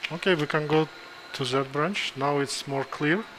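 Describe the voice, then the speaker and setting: Man, halting procedural commentary. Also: a little high. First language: Kazakh. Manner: halting, thinks aloud with pauses and restarts. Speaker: interventional neurosurgeon. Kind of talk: live commentary during a procedure. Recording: noisy room background.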